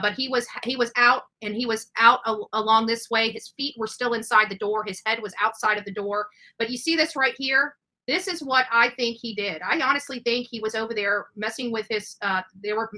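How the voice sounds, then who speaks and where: A woman hosting a true-crime livestream, commenting over footage in a halting, emphatic way with repeated words. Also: fairly high pitch, pushed and bright, low-quality recording. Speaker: livestream host.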